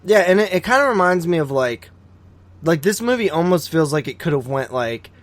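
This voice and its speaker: A man's voice: fairly high pitch, big pitch swings, a little fast, no echo. A man hosting a horror podcast, reviewing films in casual, slangy chat with plenty of swearing. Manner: slangy, swearing, frequent "like" and "dude". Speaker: horror film podcast host.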